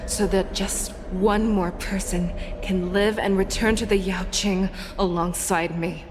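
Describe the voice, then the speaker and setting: An actress playing a solemn, resolute warrior general in a video game, speaking gravely with dramatic pauses. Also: female voice, mid-range voice, fairly even intonation, background noise, natural voice. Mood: solemn resolve.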